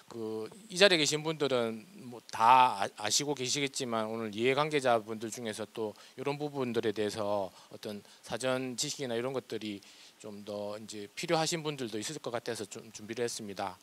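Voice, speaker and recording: A man's voice: mid-range voice, a bit bright. Nervous man with a Korean regional accent. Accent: South Korean regional dialect. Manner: nervous, hesitant. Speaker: government official presenting at a forum. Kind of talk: formal public presentation.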